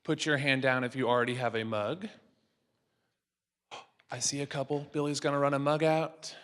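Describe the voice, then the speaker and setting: A man hosting a live event, making brisk, upbeat announcements to the audience. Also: mid-range voice, steady tone, a bit bright, in a large hall. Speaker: event host.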